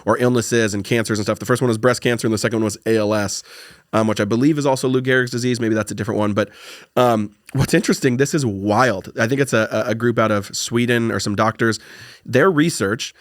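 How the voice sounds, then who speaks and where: A man talks quickly and excitedly in a casual podcast chat, his phrases loose and repeated as he builds his point. The sound is dry and close to the mic. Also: mid-range voice, dry recording. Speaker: podcast co-host.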